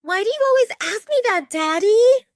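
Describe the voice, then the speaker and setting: A woman's voice: very high-pitched, expressive, normal speed, dry recording, studio quality, voice pushed up. A woman voicing a whiny, spoiled young she-wolf daughter in an audio drama, pouting and exaggerated. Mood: confused, exasperated.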